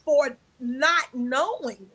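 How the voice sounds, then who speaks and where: Loud, bright-voiced woman in her forties. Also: high-pitched, drawn-out words. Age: 40s. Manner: loud, big voice; emphatic, preaching tone. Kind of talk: candid conversational talk.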